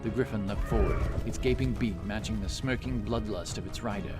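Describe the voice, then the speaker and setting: A man narrates a scripted fantasy audio drama. His voice sits fairly low and he tells the story dramatically. Heavy music runs loudly underneath. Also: brisk. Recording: very loud music behind.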